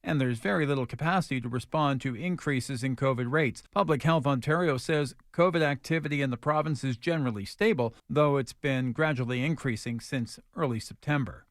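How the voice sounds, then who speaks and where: A man's voice: medium pitch, fairly quick, few pauses, no room sound, studio quality. A man reading scripted news as a radio anchor. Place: radio studio. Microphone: close studio microphone.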